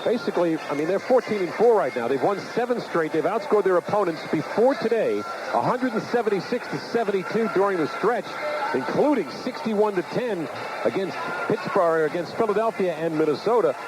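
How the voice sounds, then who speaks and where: A man's voice: high voice, a little fast. A man calling football play-by-play on radio, lifting his voice on big plays. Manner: builds excitement on big plays, reads sponsor spots. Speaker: play-by-play announcer. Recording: hissy cassette tape of an AM radio broadcast.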